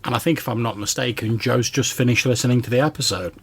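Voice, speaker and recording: A man's voice: mid-range voice, fast talker, husky. A man hosting a podcast, chatting conversationally in response to listener voicemails. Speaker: podcast host.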